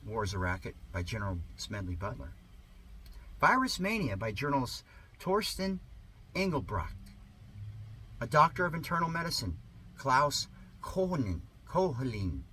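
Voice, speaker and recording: A man reading an article aloud in a sarcastic, theatrical manner, with drawn-out emphasis and muttered asides. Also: mid-range voice, sing-song, pushed and bright, slight background noise. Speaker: amateur reader of an article.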